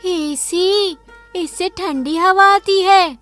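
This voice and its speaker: A man's voice: very high voice, very slow, bright, forceful tone, faint music behind, pitched above the performer's own voice, exaggerated voice. A man voicing a curious, eager little village girl in a cartoon story, asking and pleading in a childlike way.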